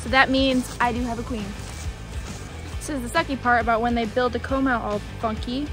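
A woman's voice: a little high, fairly even intonation, brisk, music in the background. A middle-aged woman chatting casually and thinking aloud as she works outdoors in a bee yard, informal and exclamatory. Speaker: beekeeping vlogger. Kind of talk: casual chat while working.

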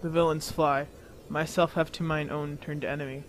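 A man's voice: fairly high pitch, level tone, moderate pace, a little hiss or hum, lightly acted. A man playing a proud, despairing Roman general in a declamatory radio Shakespeare drama. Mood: wary, commanding. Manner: declamatory verse delivery. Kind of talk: old-time radio Shakespeare acting.

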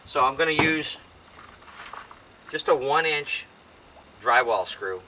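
A man's voice: a little high, lively intonation, slow. An older American man chatting casually in a folksy, rambling way as he talks to himself while doing a hands-on job. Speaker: DIY vlogger. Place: inside open cargo van beside a garage, outdoors. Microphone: camera a few feet away. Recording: distant camera mic, loud noise, clicks.

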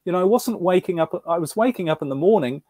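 A middle-aged man talks over a video call from a small room, mellow and a touch higher-pitched. He tells his story reflectively, often stopping to restart a thought. The sound is dry and close. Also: lively intonation, quick pace, no room sound. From New Zealand. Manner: reflective, frequent restarts and self-corrections. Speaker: guest. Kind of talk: interview storytelling.

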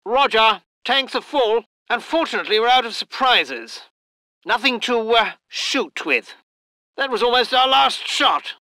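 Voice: medium pitch, expressive, unhurried, bright, forceful tone, no room sound. An actor voicing a posh English military aviator in a video game, with clipped, old-fashioned understatement and a stiff upper lip. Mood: businesslike. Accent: upper-class English. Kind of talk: video game unit voice lines.

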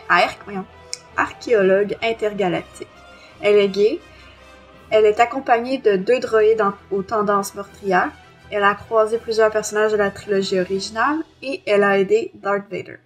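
A female podcast co-host speaks with a Quebec French accent at a medium pitch. She is giving her part of a review show, with soft music running behind her voice. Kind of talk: casual review discussion, partly reading aloud. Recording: music under the voice.

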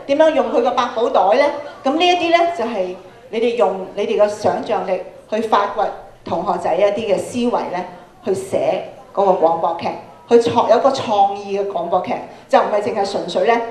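A woman's voice: mid-range voice, darkish tone. A woman with a Hong Kong Cantonese accent giving an interactive talk to schoolchildren, prompting them with frequent questions. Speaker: workshop speaker, radio programme producer. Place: large school auditorium, indoors. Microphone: handheld microphone, filmed from audience. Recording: echoey hall sound from PA.

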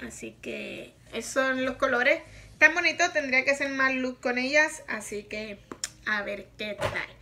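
Puerto rican woman vlogging from her bedroom, bright highish voice, faint music behind. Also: unhurried. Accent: Puerto Rican Spanish. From Puerto Rico. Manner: chatty, frank, laughs often. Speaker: makeup vlogger. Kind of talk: casual product review. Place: bedroom, indoors, small.

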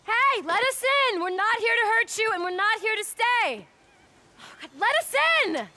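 A woman's voice: extremely high pitch, expressive, moderate pace, bright, forceful tone, a little hiss or hum, full character voice. Determined American woman scientist. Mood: frustrated, urgent. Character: guarded, determined woman scientist surviving an apocalypse. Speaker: video game voice actor. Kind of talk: naturalistic dramatic game cutscene acting.

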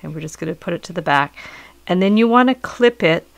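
Middle-aged woman with a cold, low gravelly voice, calmly walking you through a craft, dry close sound. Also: fast talker, dry recording. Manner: calm, patient, explanatory. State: hoarse from a cold. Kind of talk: step-by-step craft instruction. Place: indoors at a work table.

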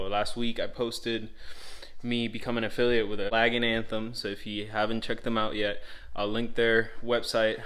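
Low-pitched young man vlogging casually. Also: a bit bright. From Puerto Rico. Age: 20s. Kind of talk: casual vlog chat and product review.

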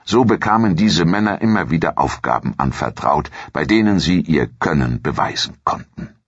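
A man with a gravelly, husky voice reads scripted documentary narration in a measured, formal storytelling manner. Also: a bass voice, expressive, dry recording, muffled recording.

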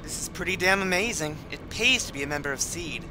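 A high-pitched, cocky young fighter voiced by a man for an amateur game dub. He talks in brash, eager slang. The recording is clearly noisy. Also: lively intonation, brisk, close to an everyday voice. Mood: chatty, informative. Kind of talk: amateur video game fandub acting.

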